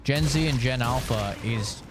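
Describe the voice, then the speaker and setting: A man's voice: medium pitch, unhurried, noisy background. A man in his late twenties chatting casually while he streams a game, rambling frankly with lots of fillers. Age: late 20s. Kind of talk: casual chat while gaming.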